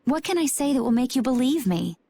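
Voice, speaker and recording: A woman's voice: high voice, lively intonation, brisk, creaky, dark tone, exaggerated voice. A woman voicing a cool, enigmatic young warrior woman in a video game, composed and understated. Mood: calm, guarded.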